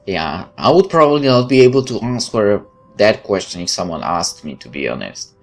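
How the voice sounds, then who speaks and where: A deep-voiced man narrates a visual novel as a let's play host. He slips between reading the text and casual side remarks. Game music plays behind him. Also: big pitch swings, moderate pace. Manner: off-the-cuff remarks between read passages.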